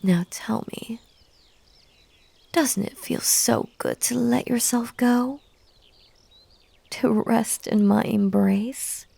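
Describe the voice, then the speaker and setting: A woman's voice: a little high, fairly even intonation, takes it slowly, gravelly, long pauses, mellow, dry recording, exaggerated voice. A woman playing an unhinged, lovesick bunny girl in an intimate audio roleplay, coaxing and hypnotic, slipping into whispers. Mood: tender, soothing.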